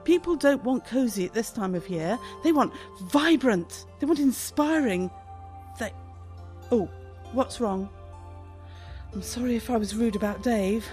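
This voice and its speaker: An actress playing a bossy, easily panicked British shop owner in a radio comedy, chatty and theatrical. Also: female voice, on the high side, expressive, a little slow, a little mellow, soft background music. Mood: probing concern. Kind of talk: radio play comedy acting.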